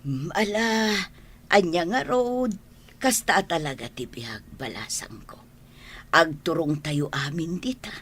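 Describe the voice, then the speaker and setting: A woman's voice: low voice, animated, measured pace, husky, heavily acted. A woman playing a motherly, practical older housemaid in an audio drama. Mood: curious, motherly. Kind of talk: audio drama acting in dialogue.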